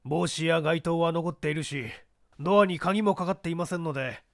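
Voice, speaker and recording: A man's voice: a little high, brisk, creaky, dry recording, clean studio sound. A man voicing a businesslike office clerk in a mystery audiobook, answering questions deferentially and matter-of-factly. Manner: deferential, matter-of-fact, explanatory. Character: polite, businesslike male office clerk giving testimony.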